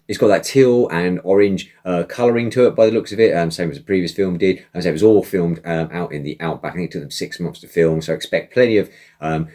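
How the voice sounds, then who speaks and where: A man with a hoarse, croaky voice, chatting casually as host with lots of ums and uhs. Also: low voice, darkish tone. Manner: many ums and uhs, rambling. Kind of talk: casual chat.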